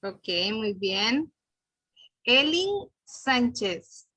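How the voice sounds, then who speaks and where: A woman facilitator leads an online workshop over a video call. Her voice is bright and a little high, and the sound is dry and close. She talks encouragingly and calls each participant by name. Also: sing-song, very slow, breathy edge, no echo, low-quality recording. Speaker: forum facilitator.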